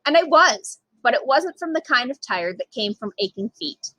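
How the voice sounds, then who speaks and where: A woman reading a children's picture book aloud in an expressive, emphatic way with dramatic pauses. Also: sits high, no echo, poor audio. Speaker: storytime reader, literacy program manager.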